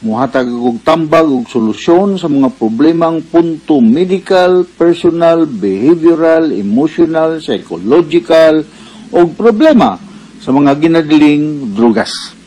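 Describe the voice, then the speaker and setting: Slow-paced Cebuano Filipino man hosting radio. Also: on the high side, animated, mellow, poor audio. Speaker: radio host and advice-giving doctor. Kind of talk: radio advice program presentation.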